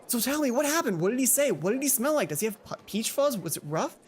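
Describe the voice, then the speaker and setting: A let's play reader voicing an excitable, nosy teenage schoolgirl with a gushing, insistent delivery. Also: medium pitch, quick pace, voice pushed up, close to an everyday voice.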